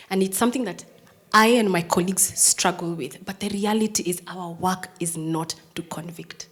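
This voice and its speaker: A woman in her thirties with a Kenyan English accent, a prosecutor giving a personal storytelling talk to an audience. She has a strong, firm voice and shifts between hushed, near-whispered lines and loud, emphatic ones. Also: on the low side, breathy edge.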